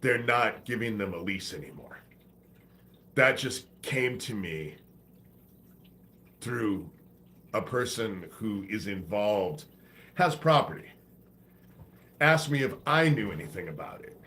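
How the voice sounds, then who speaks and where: A man's voice: fairly low pitch, expressive, takes it slowly, long pauses, pushed and bright. A man hosting a live stream while sick with a congested head cold, talking in a loose, rambling, profane way. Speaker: livestream host. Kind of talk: rambling casual livestream talk.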